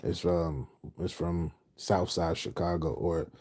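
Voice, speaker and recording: A low-pitched man with a dark, mellow hosts a late-night livestream, reading comments aloud. He is middle-aged and from Houston, and he rambles in slang, restarting and repeating lines as he goes. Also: fairly even intonation, slow, breathy edge. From Houston, Texas. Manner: loose, slangy, repeats phrases, trails off. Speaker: livestream host reading chat.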